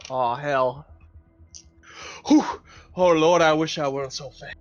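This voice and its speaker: A man playing a tabletop roleplaying game, talking casually and haltingly, partly in character. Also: on the high side, lo-fi sound. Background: background music.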